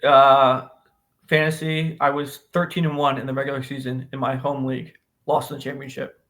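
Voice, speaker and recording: An American man co-hosts a podcast in an easygoing, conversational style. His voice sits at a middling male pitch, and he talks informally, as if with an old friend. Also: level tone, moderate pace, fairly bright tone. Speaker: podcast co-host. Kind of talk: casual banter between friends.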